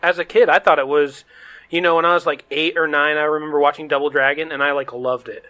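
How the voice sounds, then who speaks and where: A man with a fairly high voice hosts a casual podcast. He talks in a loose, conversational way, as if among friends. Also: fairly even intonation, quick pace, bright, forceful tone. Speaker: podcast host.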